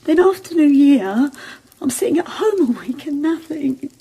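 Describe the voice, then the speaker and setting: An actress playing a downhearted but plucky jobseeker in a radio play, with a husky, gravelly voice. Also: female voice, high voice, animated, normal speed, dark tone. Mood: wistful, tearful. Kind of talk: naturalistic radio play acting.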